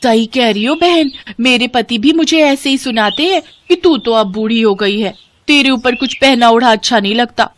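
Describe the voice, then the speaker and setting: A woman's voice: fairly high pitch, normal speed, a little hiss or hum, lightly acted. A woman voicing a gullible, vain, ageing rich village wife in a scripted story. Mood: amazed, eager.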